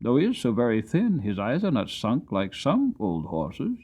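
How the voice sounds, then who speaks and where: A man's voice: medium pitch, huge pitch swings, normal speed, a little mellow, breathy edge, heavily acted. A man voicing an eager, kind-hearted young boy who pleads earnestly, in a dramatic audiobook reading. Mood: eager pleading.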